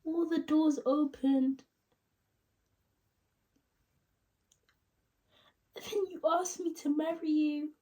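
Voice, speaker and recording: A woman playing a regretful newlywed wife in a dramatic monologue, confessing in a halting, emotional, vulnerable way. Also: sits high, fairly even intonation, mellow, far from the mic, voice pushed up, close to an everyday voice. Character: regretful newlywed wife tearfully ending her marriage.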